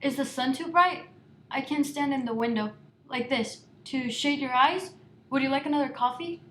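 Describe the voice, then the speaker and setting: A woman plays an anxious housewife in a radio drama parody, fussing over her husband. Her voice is high and nervously chirpy. It sounds echoey, with faint noise behind it. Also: normal speed, a bit bright. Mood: anxiously cheerful. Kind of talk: mid-century stage drama parody on radio. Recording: echoey room with noisy ambience.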